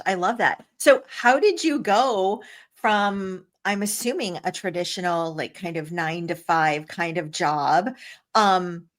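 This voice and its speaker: A woman co-hosting a podcast in casual chat, bubbly and quick to laugh. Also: on the low side, a bit bright. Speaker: podcast co-host.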